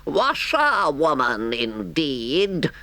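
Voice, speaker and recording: A vain, boastful storybook toad, pitched far above a normal male voice. The delivery is theatrical and exclamatory, swooping widely with a bright, forceful edge. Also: huge pitch swings, gravelly, dry recording, heavily acted. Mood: boastful, reckless. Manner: grandiose, drawn-out, exclamatory delivery.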